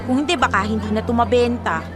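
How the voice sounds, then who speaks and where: A woman's voice: a little high, quick pace, music in the background, natural voice. A young woman with a Filipino accent playing a loyal, defensive college student in a conversational radio drama. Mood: breezy, defensive. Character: loyal, defensive female college student selling food for friend.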